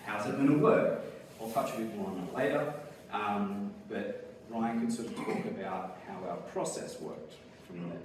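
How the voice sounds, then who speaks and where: A man with a lowish voice gives a relaxed talk to a room, his words padded with ums and light laughs. He sits well back from the microphone, so his voice rings around a big echoey hall with a steady background hiss. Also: moderate pace. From Australia. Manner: casual, many fillers and laughs. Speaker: board game designer. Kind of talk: conference talk. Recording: echoey hall, speaker far from mic, audible noise.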